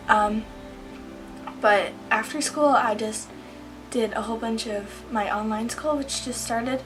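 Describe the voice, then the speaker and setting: A woman's voice: fairly high pitch, low-quality recording. A teenage girl vlogging casually from home, chatty, with lots of ums and likes. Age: teens. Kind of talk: casual vlog diary.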